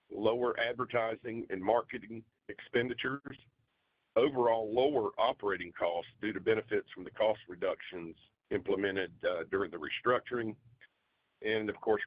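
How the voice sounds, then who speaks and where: A man's voice: lowish voice, dry recording. A man giving a scripted financial report on an earnings call as a company finance executive, in a formal, businesslike way. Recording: dull, dry conference call audio.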